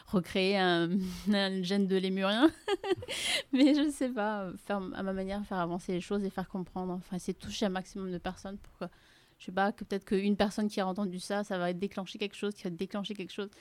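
Woman writer from madagascar, malagasy native, dry close sound, halting, emotional. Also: mid-range voice, steady tone, no room sound. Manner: hesitant, emotional, soft-spoken. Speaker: writer guest. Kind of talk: casual radio chat.

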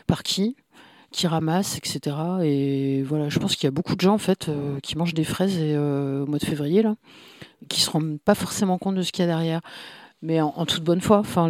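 A man's voice: on the high side, no echo. A man who is a comic book author, talking in a casual interview with many fillers and restarts. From France.

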